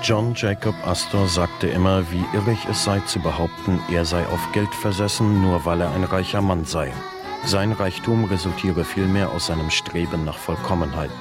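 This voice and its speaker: An actor narrating as a composed chronicler in a German radio play, with formal, measured storytelling. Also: male voice, sits low, loud music behind the voice, lightly acted. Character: composed male chronicler recounting anecdotes of a rich man.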